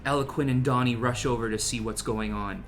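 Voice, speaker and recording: A man's voice: medium pitch, steady tone, fairly quick, faint music behind. A man reading stage directions aloud in a casual, jokey, theatrical table read. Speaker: podcast co-host reading a script's narration.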